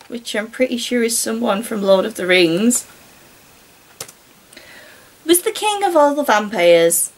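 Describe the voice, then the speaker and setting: Female host for amateur fiction readings: high-pitched, conversational, wryly mocking between passages. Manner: wry, mocking asides. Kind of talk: casual commentary mixed with story reading.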